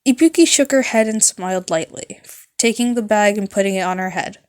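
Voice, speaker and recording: A woman narrating fan fiction as an amateur reader, halting at times and correcting her stumbles. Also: mid-range voice, darkish tone.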